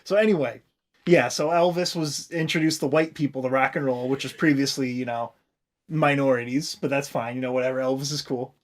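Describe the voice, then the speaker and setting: An American man co-hosting a comedy podcast, explaining history in casual, profane, animated chat. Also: medium pitch, a little fast. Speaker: podcast co-host.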